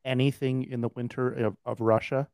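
A man co-hosting a podcast, chiming in with joking, animated reactions in casual banter. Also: mid-range voice, normal speed, dry recording, studio quality. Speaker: podcast co-host.

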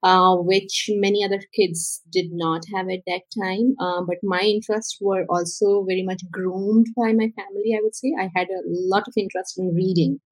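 A woman's voice: medium pitch, steady tone, moderate pace, few pauses, husky, no echo, rough recording. A woman from Pakistan with a Pakistani English accent, a podcast guest telling her life story with many hesitations. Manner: hesitant, many ums and uhs. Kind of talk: casual interview storytelling.

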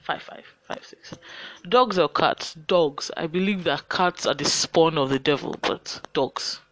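A man's voice: fairly high pitch, a little slow, a bit bright, poor audio. Tired Nigerian teen guy podcasting. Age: teens. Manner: chatty, rambling, self-mocking asides. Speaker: podcast host. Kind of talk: casual solo podcast, get-to-know-me questions.

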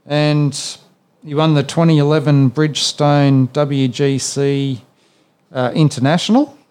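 A man's voice: a little high, fairly even intonation, a little slow, a little mellow, clean tone. A man with an Australian accent hosting a sports memories podcast, chatting casually and filling his talk with "yeah"s and "you know"s. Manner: rambling, with many fillers and "yeah"s. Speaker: podcast host.

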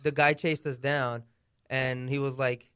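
A young man from the United States, a guest in a casual chat, telling frank stories in a relaxed way. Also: medium pitch, unhurried, clear, resonant tone, no room sound, clean studio sound. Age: young adult.

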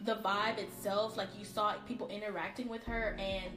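A woman's voice: medium pitch, fairly even intonation, moderate pace, reverberant, music in the background, rough recording. A woman with a Texan accent, raised in Texas, co-hosting a casual podcast chat in a playful, teasing way. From Barbados, raised in Texas. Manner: playful, teasing, laughs often. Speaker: podcast co-host.

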